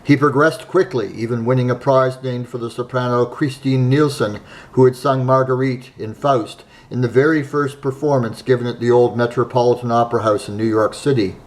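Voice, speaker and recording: A man hosting a show, reading a scripted narration in a measured, admiring way. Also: medium pitch, fairly even intonation, rough recording. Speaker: host.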